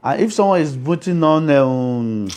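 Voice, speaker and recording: A man's voice: a little high, lively intonation, measured pace, a little mellow, smooth. A man with a Nigerian Yoruba accent, speaking as an interviewed priest in an emphatic, explanatory way, often checking that he is understood. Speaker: Ifa priest, interview guest.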